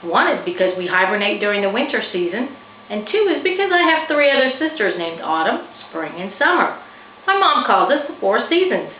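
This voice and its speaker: A young female panda from a children's storybook, acted out by a woman reader. She is gentle and excitable, with a very lively pitch. The recording is roomy and a little dull. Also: fairly quick, close to an everyday voice. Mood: gentle, wistful. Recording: roomy, slightly dull, faintly noisy recording.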